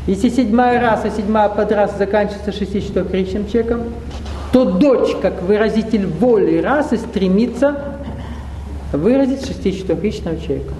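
High-voiced man lecturing in an echoey hall. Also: fairly quick, mellow, a little hiss or hum. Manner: conversational, rhetorical questions, wry asides. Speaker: spiritual seminar leader. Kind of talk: live lecture to an audience. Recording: roomy hall echo.

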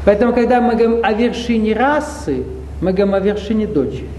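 A man's voice: high voice, fast, a little mellow, slight background noise. A man leading a spiritual seminar, lecturing a live audience in a conversational way with rhetorical questions and wry asides. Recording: roomy hall echo.

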